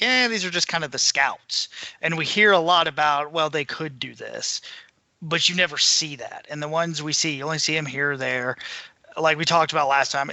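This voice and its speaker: A man with a strikingly bright, forceful voice and some creak in it talks books casually as a panelist. The sound is very dry, with no room around it. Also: on the high side, dry recording. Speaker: podcast panelist. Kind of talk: casual book discussion.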